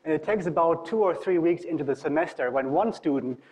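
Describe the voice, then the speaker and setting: A man's voice: a little high, fairly quick, a little mellow, low-quality recording. German-accented man giving a tech talk. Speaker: university professor, guest speaker. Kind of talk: informal tech talk presentation.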